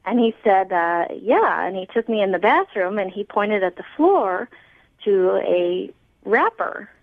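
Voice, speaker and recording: A woman's voice: mid-range voice, big pitch swings, unhurried, dry recording. A woman talking casually as a guest on a radio phone call. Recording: dull phone line, voices behind.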